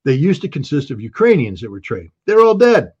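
A man's voice: on the high side, sing-song, brisk, a little mellow, husky, lo-fi sound. A man giving blunt, emphatic and scornful political commentary as an interview guest.

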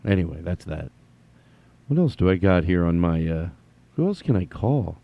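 Male solo podcast host, rambly, self-correcting, very animated pitch. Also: normal speed, phone-quality sound. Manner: rambling, self-correcting, jumps between thoughts. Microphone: headphones on, studio mic.

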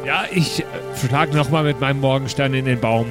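A man's voice: mid-range voice, a little fast, music in the background. A man improvising casually as a player in a tabletop role-playing game. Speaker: player in an improvised pen-and-paper audio play.

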